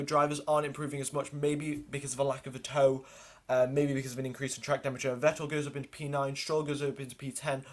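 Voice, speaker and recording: A man hosting a livestream, giving running race commentary and reading out names and positions in clipped lists. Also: mid-range voice. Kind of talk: live race watchalong commentary.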